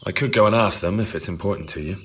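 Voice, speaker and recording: An actor playing an earnest, plucky young peasant turned soldier in a video game drama, plain-spoken and reassuring. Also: male voice, sits low, fast talker, breathy edge. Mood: calm, helpful.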